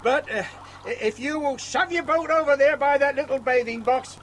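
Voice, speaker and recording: An actor playing a hearty, self-assured police officer in an audio drama. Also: male voice, very high-pitched, moderate pace, slight background noise. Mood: hearty, relieved.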